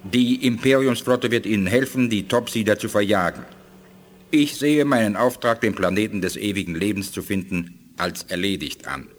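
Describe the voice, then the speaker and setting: An actor playing a dignified, weary alien scientist who speaks formally in a 1980s German radio play. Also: male voice, fairly low pitch, normal speed, natural voice. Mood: weary insistence.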